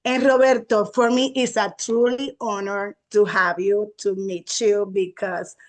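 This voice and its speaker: A woman's voice: mid-range voice, steady tone, measured pace. A woman from Puerto Rico with a Puerto Rican accent, interviewing guests with long, effusive questions.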